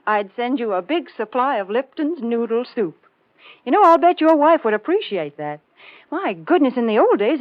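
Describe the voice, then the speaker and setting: A woman playing a prim, chatty, folksy homemaker pitchwoman in 1940s radio style. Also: dry recording. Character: chiding, wholesome homemaker pitchwoman. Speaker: commercial announcer and foil to the host. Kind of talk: 1940s radio advertising banter. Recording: dull 1940s radio recording.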